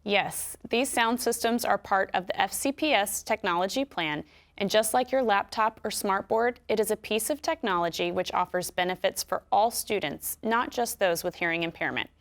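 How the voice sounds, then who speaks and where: A woman with an American accent giving scripted instructions in a clear, measured way. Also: mid-range voice. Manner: clear, measured explanatory delivery. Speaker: instructional video narrator.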